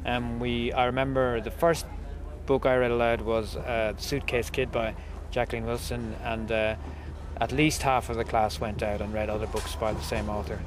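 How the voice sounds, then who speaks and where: A man's voice: medium pitch, level tone, moderate pace, noisy background. A man giving reflective answers in an interview.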